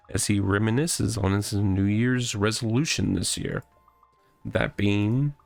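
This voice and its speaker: A man voicing a deadpan, mock-solemn storyteller who narrates absurd inner monologue in a video game. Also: fairly low pitch, gravelly.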